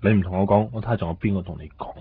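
A man's voice: lowish voice, rapid-fire, lightly acted. An actor playing a suspicious, nagging man in a Cantonese radio drama, scolding sarcastically with a Hong Kong accent. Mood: accusing, sarcastic. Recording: thin phone-like sound, faint noise.

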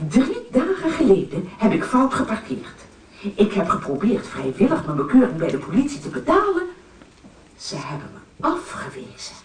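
Earnest housewife speechifying in a radio comedy, wildly varied pitch, echoey room. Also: female voice, a little mellow. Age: 40s. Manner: solemn, declamatory. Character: earnest, self-righteous housewife in her forties making appeal. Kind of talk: Dutch radio comedy acting, televised speech. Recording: echoey, distant, slightly noisy.

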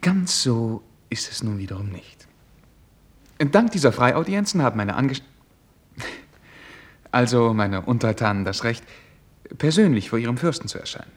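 An actor playing a courteous, naive young prince in a German radio play, speaking formally and earnestly with a hesitant, easily flustered manner. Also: male voice, medium pitch, expressive, normal speed, long pauses, natural voice. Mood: earnest explaining.

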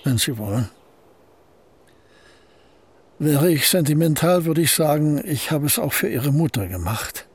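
An actor playing an aging male painter and poet in a radio play, speaking in a husky voice with a dry, reserved and curt manner. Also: a little high, expressive, moderate pace, dry recording. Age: older.

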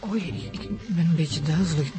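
An actress playing a distrustful, frightened Flemish woman in a television crime drama, halting and stammering over music. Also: deep voice, lightly acted. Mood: woozy alarm. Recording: music under the dialogue.

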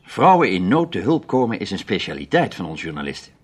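Low, swooping, gallant newspaperman. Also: very animated, a little fast, husky. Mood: gallant, smooth. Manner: jovial, chivalrous, theatrical. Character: gallant, self-assured male newspaper foreign correspondent. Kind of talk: Dutch radio play acting.

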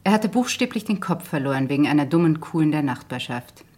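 A betrayed woman in a radio crime play. She is composed yet bitter, holding her feelings in. The voice is fairly low with a clearly husky texture. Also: expressive, quick pace, darkish tone. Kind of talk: German radio crime drama acting.

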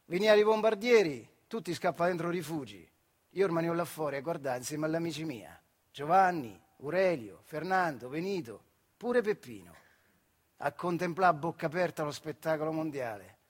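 A male actor playing a working-class factory worker telling his memories in colloquial, expressive theatrical style, with a central Italian accent from the Marche. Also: on the high side, a little fast, breathy edge. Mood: reminiscing. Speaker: stage actor performing a monologue. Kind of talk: theatrical dialect storytelling.

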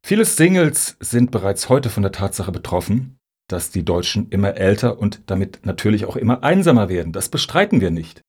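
Earnest middle-aged male lobbyist for satirical radio play, acted by a man: husky, lowish, pompous, self-justifying. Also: big pitch swings, a little fast, darkish tone. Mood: pompous, persuasive. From Hamburg, Germany. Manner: formal, jargon-heavy, pompous.

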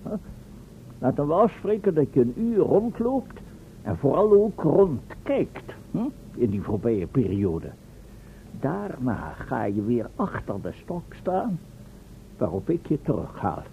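Pompous professor, animated delivery. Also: male voice, medium pitch, a little slow. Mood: instructing. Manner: pompous, fussy, commanding. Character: pompous, domineering male professor, a scheming eccentric inventor. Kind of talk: radio play acting. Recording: dull old radio recording, faint noise.

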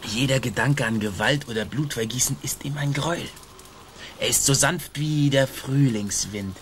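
An actor playing a boastful, swaggering Spanish colonial army sergeant in a theatrical, declamatory radio play style. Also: male voice, mid-range voice, moderate pace, slight background noise, close to an everyday voice. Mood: admiring, declamatory.

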